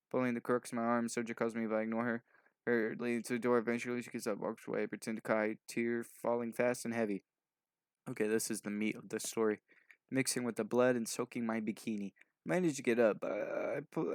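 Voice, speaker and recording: Sleep-deprived male reader, low and gravelly, improvised delivery, stumbles and skims, tosses in casual asides. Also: dry recording, studio quality. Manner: stumbling over words, mumbled skimming; offhand asides. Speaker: video host doing a fanfic reading. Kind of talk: loose, improvised reading aloud.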